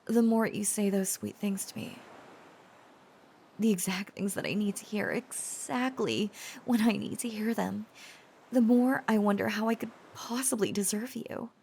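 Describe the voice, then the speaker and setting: A woman playing a tender, protective young dragon-descended girlfriend in an intimate romantic audio roleplay, speaking gently and hesitantly in a breathy, often whispered voice. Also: mid-range voice, animated, unhurried, a little hiss or hum. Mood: earnest, devoted. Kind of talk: intimate roleplay audio drama, softly acted.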